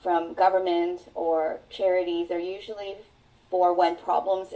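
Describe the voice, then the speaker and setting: A woman whose native tongue is Mandarin shares her story as a podcast guest. Her voice sits low and mellow and sounds set back from the microphone. She speaks earnestly, drawing out her phrases. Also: flat delivery. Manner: earnest, reflective, drawn-out phrasing. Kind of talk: personal storytelling in an interview. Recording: voice sounds distant from the mic.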